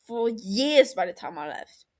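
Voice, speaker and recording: A woman voicing a defiant, exasperated runaway elder brother in an audio story, forceful, indignant and defensive. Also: medium pitch, sing-song, measured pace. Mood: exasperated, shouting.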